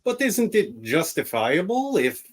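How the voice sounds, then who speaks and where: A man playing an earnest, self-doubting gentle giant of a superhero in a radio play, with a hesitant manner. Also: sits high, big pitch swings, unhurried, full character voice. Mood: questioning. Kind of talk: radio-play script reading.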